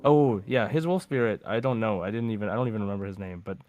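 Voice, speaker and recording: Low-voiced man hosting a casual quiz. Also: darkish tone, muffled recording, soft background music. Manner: reads questions and options slowly and deliberately. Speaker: podcast host and quiz master. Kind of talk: casual quiz show banter.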